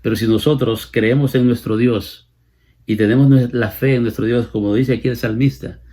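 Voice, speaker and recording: Husky Salvadoran man preaching. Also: medium pitch, steady tone, normal speed, dark tone. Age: late 50s. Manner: repeats phrases for emphasis. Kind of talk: sermon, Bible exposition.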